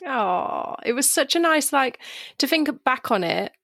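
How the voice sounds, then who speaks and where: A woman's voice: sits high, expressive, unhurried, a bit bright, no room sound, studio quality. A woman hosting a podcast and chatting in a friendly way, laughing as she reminisces. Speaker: podcast host, stylist. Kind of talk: casual chat.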